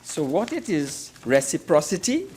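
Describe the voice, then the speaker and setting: A man's voice: a little high, big pitch swings, measured pace, a little mellow, a little hiss or hum. A Trinidadian man giving a formal parliamentary debate speech as an opposition member, emphatic and oratorical, repeating phrases for effect. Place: parliament chamber.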